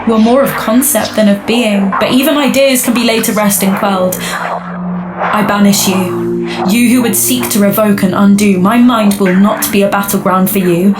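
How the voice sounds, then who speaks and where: A woman's voice: mid-range voice, steady tone, normal speed, music in the background, close to an everyday voice. A woman playing a resolute immortal warrior seer in an audio drama. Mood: defiant, commanding.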